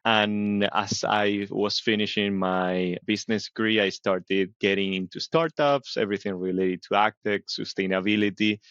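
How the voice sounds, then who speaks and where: Low-voiced Argentine-born guest, dry lo-fi sound. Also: male voice, fairly even intonation, normal speed, hardly any pauses, fairly bright tone, clear, resonant tone, no room sound. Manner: thoughtful, explains with frequent "right?". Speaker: biotech company CEO, guest. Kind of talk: conversational interview answers.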